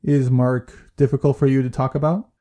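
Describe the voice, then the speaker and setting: Mellow, tactful therapist played by a woman. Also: very deep voice, flat delivery, moderate pace. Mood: gentle, careful. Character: calm, tactful therapist gently prompting a patient. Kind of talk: gentle questioning in an audio play.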